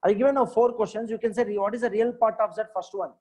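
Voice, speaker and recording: High-voiced maths teacher, encouraging. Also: male voice, fairly even intonation, fast, darkish tone. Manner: repetitive, checks on students often, praises answers. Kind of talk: live online lesson.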